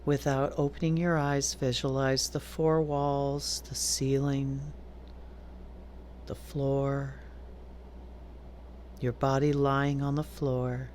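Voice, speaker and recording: A woman teaching yoga nidra, giving calm, deliberate guided meditation instructions with long pauses between phrases. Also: rumbling low, slight background noise.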